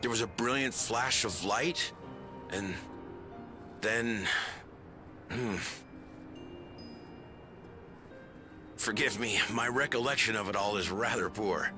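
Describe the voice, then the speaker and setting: An actor playing a humble, courteous village leader in a video game, polite and affable with a halting, musing delivery. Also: male voice, on the low side, close to an everyday voice. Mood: pensive, hesitant. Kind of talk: scripted video game cutscene acting. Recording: game music behind.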